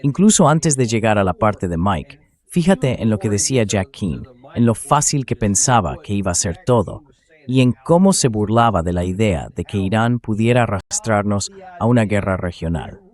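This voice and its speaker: A male host with a fairly low voice delivers political commentary. He speaks in a measured, explanatory way and puts firm emphasis on his key points. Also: lively intonation, darkish tone. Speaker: show host. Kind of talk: political commentary to camera, translated read-aloud.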